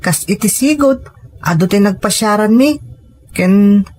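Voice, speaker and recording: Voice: mid-range voice, unhurried, darkish tone, slight background noise, natural voice. Polite, eager little schoolboy played by an actor. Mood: cheerful, polite. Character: obedient, cheerful young schoolboy son. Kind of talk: audio drama acting.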